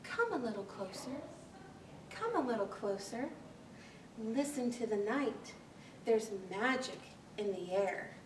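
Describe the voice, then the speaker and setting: A woman voicing a mysterious night owl from a storybook in a hushed, slow, incantatory way. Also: a little high, lively intonation. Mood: hushed, beckoning. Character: mysterious night owl, gently beckoning in rhyme. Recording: echoey room, distant mic, faint hiss.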